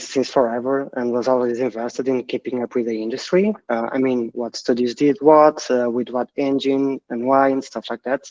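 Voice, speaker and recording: A man's voice: mid-range voice, a little mellow. Man on a dull, lo-fi call. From Portugal. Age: 30s. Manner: halting, repeats words. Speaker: game director. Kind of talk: interview guest.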